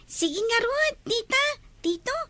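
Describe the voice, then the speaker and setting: A piping, bright little schoolgirl, polite toward her aunt and uncle in a radio drama. Her voice sits very high, over faint noise and clicks. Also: lively intonation, slow pace, full character voice. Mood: eager, polite. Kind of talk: Ilocano radio drama acting.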